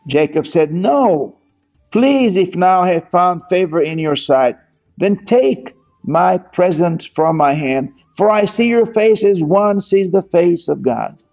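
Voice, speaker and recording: A man from Israel giving an earnest sermon and reading scripture aloud at a slow pace. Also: high-pitched, vocal fry, a little mellow. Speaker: teacher of scripture.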